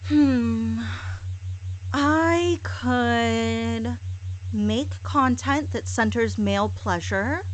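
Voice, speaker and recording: A woman delivering a scripted satirical apology monologue, sarcastic and mock-contrite, with deliberate dramatic pauses and emphatic repetition. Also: on the high side, rough recording. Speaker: hypnosis audio creator.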